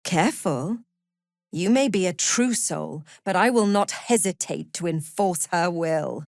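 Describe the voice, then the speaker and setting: A woman playing a cruel, zealous cult prison warden in a fantasy video game, haughty and sardonic, with measured, threatening delivery. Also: fairly low pitch, creaky, a little mellow, no echo, clean studio sound. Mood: menacing. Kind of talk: video game character voice acting.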